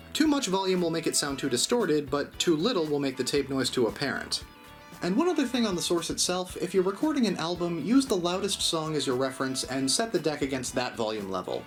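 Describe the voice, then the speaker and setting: A man's voice: on the high side, big pitch swings, brisk, faint music behind. A man narrating a casual, step-by-step tutorial in a conversational, mildly self-deprecating way. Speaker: host of an audio gear channel.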